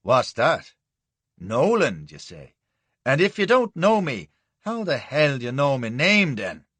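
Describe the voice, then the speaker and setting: A man voicing a sly, insolent Irish soldier with a soft, whiny brogue. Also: mid-range voice, unhurried, no echo, lightly acted. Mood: triumphant.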